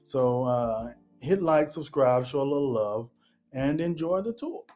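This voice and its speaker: A man's voice: mid-range voice, expressive, measured pace, darkish tone, faint music behind. A man in his thirties hosting a real estate video, chatting casually and thinking aloud as he talks. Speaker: real estate channel host.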